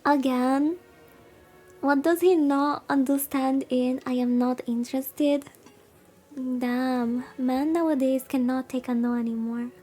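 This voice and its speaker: A high, mellow-voiced woman streams a visual novel read-aloud. She reads soothingly and drops in casual comments, with music playing behind her. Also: fairly even intonation, takes it slowly. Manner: soothing reading. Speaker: VTuber streamer. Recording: background music.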